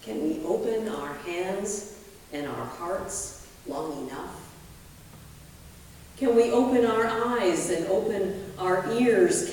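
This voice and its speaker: A woman pastor reading a poem aloud in a deliberate, measured way with dramatic pauses, recorded in a large reverberant hall. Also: medium pitch, darkish tone, a little hiss or hum. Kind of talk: poetry reading from the pulpit. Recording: very echoey hall, distant microphone.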